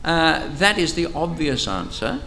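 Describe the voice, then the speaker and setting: A man's voice: a little high, sing-song, a little slow, creaky, sharp tone, slight background noise. An older man with an Australian accent and a powerful voice, leading an interactive Bible study at a church camp in a chatty, teacherly way with frequent hesitations. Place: church camp meeting room. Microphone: microphone, sometimes moves away from it.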